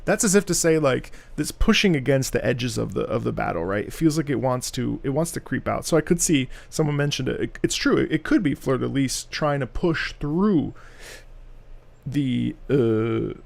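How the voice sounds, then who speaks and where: A man's voice: mid-range voice, lively intonation, normal speed, vocal fry. A man hosting a livestream, giving casual, enthusiastic and effusive live commentary as he reacts to game music.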